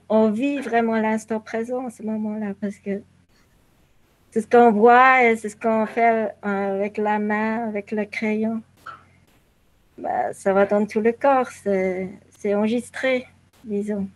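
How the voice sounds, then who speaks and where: Slow-spoken woman, halting and reflective. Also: fairly high pitch, steady tone, poor audio. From southern Africa (Zambia and Zimbabwe). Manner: halting, searching for words with long pauses. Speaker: interview guest, painter. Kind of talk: reflective interview answers.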